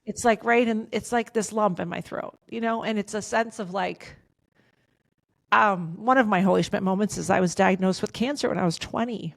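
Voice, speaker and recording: A woman in her fifties, a podcast guest, talking candidly in an outspoken, emphatic way with a loud voice, swearing freely. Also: mid-range voice, normal speed, creaky, no echo. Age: 50s. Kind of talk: candid casual conversation.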